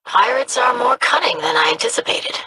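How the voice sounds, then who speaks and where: An actress voicing an earnest young female alien scholar in a video game, creaky-voiced, formal, precise and courteous. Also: a little high, lively intonation, brisk, background noise, lightly acted. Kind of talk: video game character voice lines.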